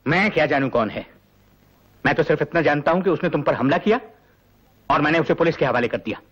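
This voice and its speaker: Husky high-voiced stern grandfather. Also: fast. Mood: evasive, heavy. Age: older. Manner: commanding, impatient. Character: stern, hot-tempered grandfather guarding a family secret. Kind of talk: Hindi film melodrama acting. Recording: dull lo-fi film soundtrack, faint music.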